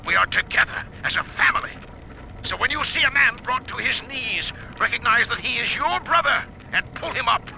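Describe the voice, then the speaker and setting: Gravelly, fervent cult preacher. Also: male voice, very high-pitched, expressive, moderate pace, bright, forceful tone, full character voice. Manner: rousing, declamatory. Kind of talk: sermon in an audio log. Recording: faintly noisy audio log.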